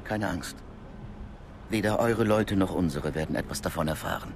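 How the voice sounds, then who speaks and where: Casting: calm, knowing secret-service contact, lowish voice, measured radio drama delivery over ambient noise. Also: male voice, vocal fry. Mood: resolute, discreet. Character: discreet male Cold War intelligence contact, calm and knowing. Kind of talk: naturalistic German radio drama acting.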